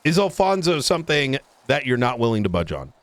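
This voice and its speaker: A man's voice: mid-range voice, big pitch swings, a little fast, a bit bright, dry recording. Blunt, swearing police chief, played by a man. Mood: firm, insistent. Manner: argumentative, blunt, casual swearing. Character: blunt, foul-mouthed male police chief negotiating a deal. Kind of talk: improvised roleplay phone argument.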